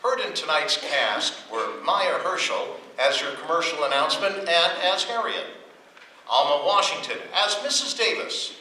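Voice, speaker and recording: A man's voice: a little high, lively intonation, normal speed, a little hiss or hum. A man giving scripted closing announcements in old-time radio style. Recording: echoey hall, distant mic, loud music.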